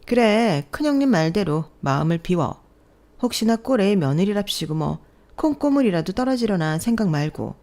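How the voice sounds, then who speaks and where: A woman's voice: mid-range voice, big pitch swings, normal speed, a little mellow. A woman voicing haughty older sisters-in-law who mock and belittle the young bride. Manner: mocking, condescending, needling.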